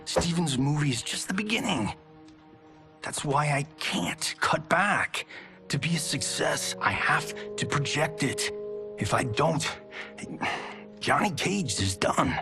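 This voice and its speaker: Cocky, creaky-voiced movie star. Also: male voice, fairly high pitch, animated, takes it slowly, over music, full character voice. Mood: pleading. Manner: breezy, boastful. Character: cocky, flamboyant male action-movie star, upbeat and smooth-talking. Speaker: video game character voice actor. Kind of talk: cinematic game cutscene acting.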